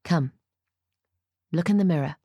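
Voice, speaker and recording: A woman voicing a weary, exasperated old woman with a gruff edge, in a dramatic story reading. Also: mid-range voice, animated, moderate pace, a little mellow, no echo, studio quality, lightly acted. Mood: gentle, drained.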